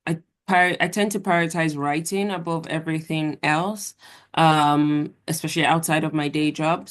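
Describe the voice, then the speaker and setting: Low flat-voiced young woman talking about her writing, measured, lots of ums. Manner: measured, thoughtful, with fillers. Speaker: author, guest. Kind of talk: casual interview answers.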